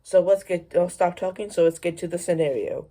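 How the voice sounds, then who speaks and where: A woman talks casually to her viewers in a low voice with a dark, mellow tone. She rambles and halts, often doubling back over the same phrase as she introduces her video. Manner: halting, rambling, repeats phrases. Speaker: video creator introducing a premiere.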